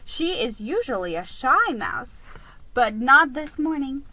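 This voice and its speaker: A woman narrates a children's storybook with theatrical expression. Her voice is bright and animated, and she reads at an unhurried pace. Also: high-pitched. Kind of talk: dramatic storybook reading.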